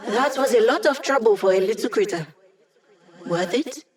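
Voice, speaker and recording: A woman's voice: a little high, animated, normal speed, darkish tone, lightly acted. An actress playing a wry young female hacker who supports the team with dry, sarcastic quips in game voice lines. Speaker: video game character.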